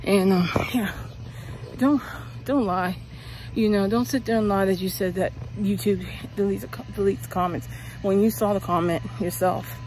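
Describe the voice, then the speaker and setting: A woman's voice: mid-range voice, fairly even intonation, a little slow, a little mellow, a little hiss or hum, low-quality recording. A middle-aged woman vlogging while walking outdoors at night, talking in a rambling, chatty way with lots of fillers. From Pennsylvania, US. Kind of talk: casual walking vlog. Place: outdoors on a suburban street at night. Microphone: earbud mic, phone held at arm's length while walking.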